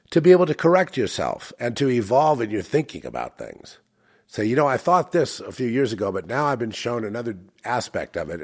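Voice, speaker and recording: Older man, very low voice, husky, congested, rambling reflective monologue. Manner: rambling, self-correcting, reflective. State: congested with a head cold. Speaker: channel host. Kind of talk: casual spiritual monologue.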